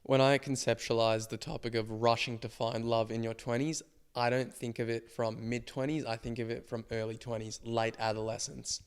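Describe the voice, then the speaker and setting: A man in his twenties co-hosting a podcast with a slightly croaky voice, reflecting at length in casual chat. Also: medium pitch, level tone, normal speed. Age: 20s. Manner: reflective, explains ideas at length. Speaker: podcast co-host.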